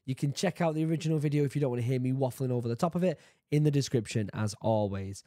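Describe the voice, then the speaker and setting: A man's voice: mid-range voice, fast talker, warm tone, no echo. A man in his thirties with a sore throat, chatting casually as he reacts to a video at home. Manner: chatty, jokey, laughs at himself. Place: home room with bookshelves. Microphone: studio mic on boom arm, headphones.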